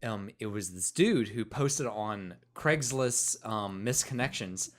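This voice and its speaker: A man's voice: lowish voice, animated, slow pace. A man, co-hosting a casual podcast and telling a loose story while laughing often. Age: 20s. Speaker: podcast co-host.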